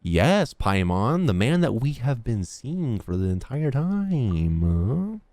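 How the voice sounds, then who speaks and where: A woman's voice: very deep voice, big pitch swings, slow pace, warm tone, no room sound. A woman streaming a game, reacting casually to the story with slangy, wry interjections. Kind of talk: casual live reaction commentary.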